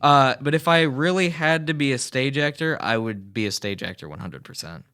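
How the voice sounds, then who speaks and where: A man's voice: medium pitch, expressive, normal speed, bright, forceful tone, clean tone. A teenage boy, a student guest on a radio talk show, talking earnestly in a rambling way with many fillers and restarts in a small studio booth. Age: teens. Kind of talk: radio interview, earnest and chatty.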